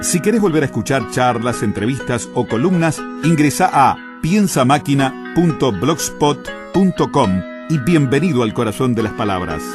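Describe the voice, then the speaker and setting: Male announcer, measured scripted read over prominent guitar bed. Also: mid-range voice, lively intonation. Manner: slow, measured delivery. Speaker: radio announcer. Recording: radio spot over loud guitar.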